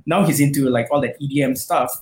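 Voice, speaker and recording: A man's voice: mid-range voice, fast. A man from Malaysia, a metal musician, chatting casually in an interview, rambling with lots of fillers and restarts. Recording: echoey room, faint noise.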